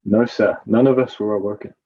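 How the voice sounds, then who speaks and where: A man's voice: on the low side, steady tone, brisk, dark tone, breathy edge, muffled recording. A man playing a nervous, evasive Roman guard corporal testifying in a courtroom drama, hesitant and deferential. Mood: evasive, uneasy.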